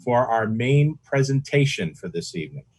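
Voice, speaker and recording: A man's voice: mid-range voice, very animated, normal speed, a little mellow, slightly rough. A man chairing a club meeting over a video call.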